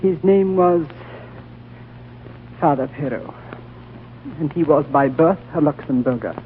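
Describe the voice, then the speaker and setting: A man playing an ancient, gentle, solemn lama in a 1940s radio drama, speaking in a slow, courtly, measured way. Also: a little high. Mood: solemn storytelling. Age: older. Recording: old narrow-band radio recording, faint noise.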